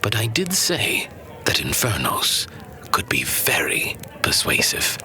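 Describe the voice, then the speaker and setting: Solemn storyteller, played by a man, low breathy half-whisper, crisp bright edge, unhurried, fantasy audio drama narration. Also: wildly swooping pitch, heavily acted. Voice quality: breathy, hushed. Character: solemn storyteller recounting ancient legend.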